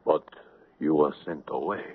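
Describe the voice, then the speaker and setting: An actor playing a stern village elder in a 1970s radio drama, speaking gravely about a curse. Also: male voice, very deep voice, moderate pace. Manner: grave, solemn. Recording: tinny old radio broadcast.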